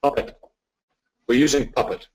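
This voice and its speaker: A man with an Irish accent answering questions as a technology expert, speaking in a deliberate, measured way with emphatic repetition. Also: mid-range voice, steady tone, a little mellow, husky, rough recording. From Ireland.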